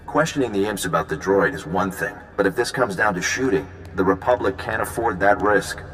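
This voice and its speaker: An actor playing an easygoing, smooth-talking, flirtatious male intelligence agent in a video game, casual and breezy. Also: on the low side, fairly quick, fairly bright tone, faint music behind, natural voice. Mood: businesslike. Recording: long reverb, faint background noise.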